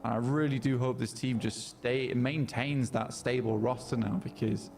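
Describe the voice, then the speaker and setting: A man's voice: medium pitch, fairly even intonation, normal speed, a little mellow, slight background noise. A man giving analytical color commentary on a live esports match, explaining team form and map positions.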